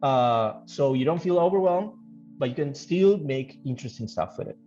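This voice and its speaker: A man who works as a game developer gives casual interview answers, thinking aloud haltingly and repeating phrases. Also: medium pitch, lively intonation, dark tone. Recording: dull lo-fi audio, music behind. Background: background music.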